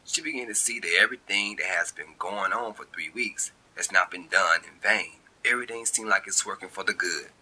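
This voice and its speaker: A man reading a short story as its narrator, in an expressive, colloquial storytelling style. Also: deep voice, brisk, few pauses, husky, poor audio. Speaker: author and narrator.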